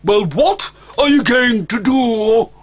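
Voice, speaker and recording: Guy doing a screechy panicky dragon mom, real high. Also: expressive, takes it slowly, heavily acted. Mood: demanding. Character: frantic dragon mother, shrill and fearful.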